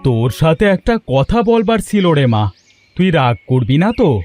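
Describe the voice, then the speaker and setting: A man plays a worried, middle-aged village father from a cartoon. His voice sits fairly high and swings widely as he pleads, with music playing clearly behind him. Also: big pitch swings, measured pace, a little mellow. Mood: pleading. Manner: plaintive, pleading. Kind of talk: Bengali cartoon voice acting.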